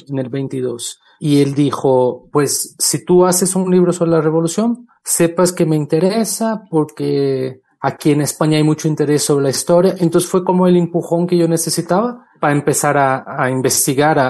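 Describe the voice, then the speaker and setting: A Brazilian man in his forties, a native Portuguese speaker and journalist, talking steadily and thoughtfully as an interview guest over a remote connection. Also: on the high side. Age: 40s. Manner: thoughtful, steady, with small restarts and fillers. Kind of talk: interview conversation.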